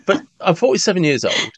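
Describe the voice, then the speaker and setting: Forties male podcast host, animated, self-interrupting, dry close sound. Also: high-pitched, slightly rough, dry recording. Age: 40s. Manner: animated, restarts and repeats words when reasoning aloud. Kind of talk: casual conversation.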